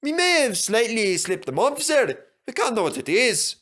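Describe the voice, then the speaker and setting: A man's voice: very high-pitched, very animated, normal speed, fairly bright tone, pitched above the performer's own voice, full character voice. A man voicing mischievous twin steam engines in a children's story, with a Scottish accent and a sly, cheerful manner. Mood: innocent.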